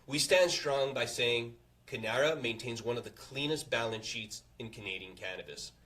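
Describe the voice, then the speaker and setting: A man reading a scripted earnings presentation to investors as a company executive, in a formal, confident, measured way. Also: medium pitch, bright, forceful tone.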